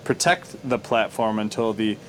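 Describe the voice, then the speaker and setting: A man's voice: lowish voice, moderate pace, slight background noise. A man giving dry, teasing commentary while a friend plays a game, and sometimes reading the mission text aloud. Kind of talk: casual let's-play commentary.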